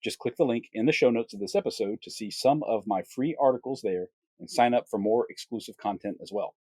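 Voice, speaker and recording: A man's voice: fairly low pitch, fairly quick, darkish tone, husky, no echo. Upbeat male podcast host, dry sound. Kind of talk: podcast interview with a sponsor read.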